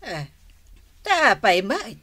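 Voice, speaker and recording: An actress with an Ilocano accent playing a gossipy, excitable woman neighbor in a comedy radio drama. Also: medium pitch, very slow, heavily acted. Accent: Filipino, Ilocano.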